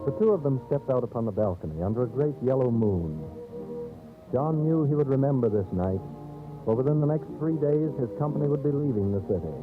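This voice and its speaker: A man narrating a scripted 1930s radio drama in a solemn, theatrical, measured storyteller's manner. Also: mid-range voice, animated. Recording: old scratchy 1930s radio, narrow phone-like band. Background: dramatic background music.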